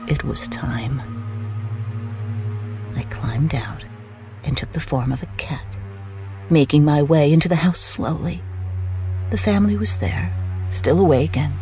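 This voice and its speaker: A woman playing a sinister, patient witch in a dark horror tale, speaking deliberately and dropping into hushed whispers. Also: low voice, faint music behind. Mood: ominous, brooding. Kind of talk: dramatic first-person horror storytelling.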